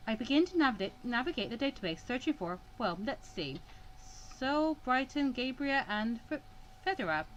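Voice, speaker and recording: A woman's voice: sits high, sing-song, normal speed, dull audio, a little hiss or hum. A woman hosting a let's play, reading visual novel text aloud and breaking off for wry, sarcastic asides.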